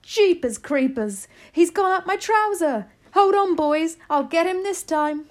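A woman voicing a panicked male kitchen cook from a storybook, shouting frantically. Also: very high-pitched, animated, measured pace, voice pushed up. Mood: outraged.

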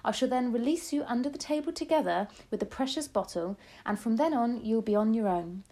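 Woman doing a warm, emphatic old grandmother. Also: on the high side, a little fast, pitched above the performer's own voice. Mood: urgent, caring. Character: elderly grandmother, loving and resourceful.

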